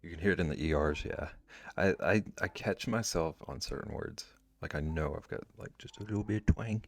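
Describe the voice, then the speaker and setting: Low gravelly-voiced man chatting on stream. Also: a little fast. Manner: rambling, with many "like" fillers and restarts. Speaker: streamer drawing live and chatting with viewers. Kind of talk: casual chat, reading and answering chat.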